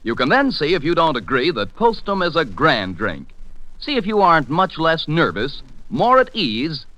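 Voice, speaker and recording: A man with an American accent doing scripted 1940s radio announcing and sponsor pitches. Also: huge pitch swings, normal speed, pushed and bright, low-quality recording.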